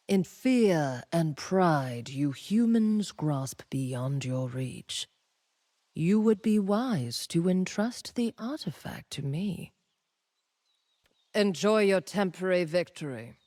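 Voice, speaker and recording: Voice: medium pitch, no room sound. An actor voicing a bitter, imperious ancient primal spirit in a fantasy game, speaking with portentous deliberation. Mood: scornful, bitter. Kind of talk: dramatic fantasy game acting. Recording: loud music under the voice.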